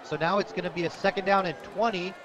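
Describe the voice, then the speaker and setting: A man calling live football play-by-play on the radio in an energetic, excitable way. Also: high voice, fast, muffled recording. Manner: energetic, rises to shouts on big plays. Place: outdoor high school football stadium broadcast position. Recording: loud crowd and noisy outdoor stadium. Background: crowd noise.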